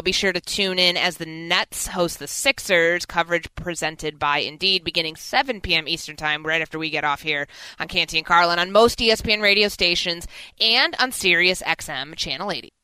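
A woman's voice: lowish voice, a little fast, sharp tone, clean tone, poor audio. A woman hosting sports talk radio in a conversational way, moving between promos and banter.

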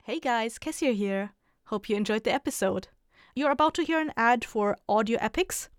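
Clear, higher-voiced woman podcast host. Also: lively intonation, normal speed, no echo. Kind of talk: casual podcast announcement.

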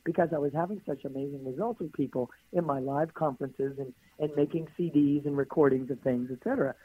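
A hoarse woman, a guest author on a radio interview, who answers in long flowing runs of stacked phrases. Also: low voice, fast, dry recording. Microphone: phone call. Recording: tinny phone line.

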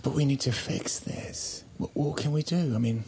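An actor playing an insecure, pleading husband in an intimate audio drama, halting and stammering, sometimes breathy. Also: male voice, mid-range voice, background noise, natural voice. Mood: defensive, desperate. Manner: halting, stammering, repeats himself. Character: pleading, insecure, flustered husband in a failing marriage. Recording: music under the dialogue.

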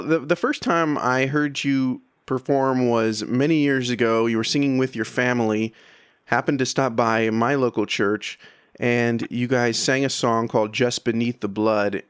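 A man's voice: medium pitch, fairly even intonation, normal speed, smooth, no room sound, clean studio sound. A man hosting an interview show, putting questions to a guest in a conversational style.

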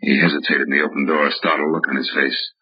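An actor playing a hardboiled, wry private detective in 1940s radio acting, tough and clipped. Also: male voice, extremely low pitch, little pitch movement, fast talker, slightly rough, no room sound. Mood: wry, grim. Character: hardboiled, wry male private detective narrating his case. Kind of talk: 1940s radio acting, scripted first-person narration. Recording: muffled old radio recording with music.

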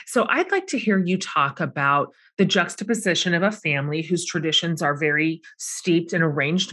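A woman's voice: lowish voice, a bit bright. A middle-aged woman hosting a book club interview, asking long, enthusiastic questions that linger over her words. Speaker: podcast host.

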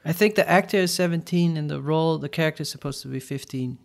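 Gravelly man with halting restarts. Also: on the high side. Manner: halting, with frequent stuttered restarts. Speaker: podcast co-host. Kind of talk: casual podcast chat.

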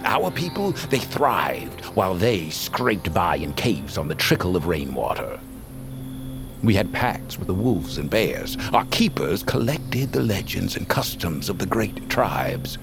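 An actor playing a stern, commanding elder of an animal tribe in a fantasy audio drama, speaking in grave, archaic phrases. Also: male voice, deep voice, big pitch swings, measured pace, creaky, over music, heavily acted. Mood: solemn.

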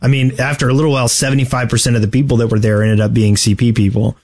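A man's voice: medium pitch, little pitch movement, rapid-fire, darkish tone. A man co-hosting a gaming podcast in casual, joking, teasing banter. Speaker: podcast co-host.

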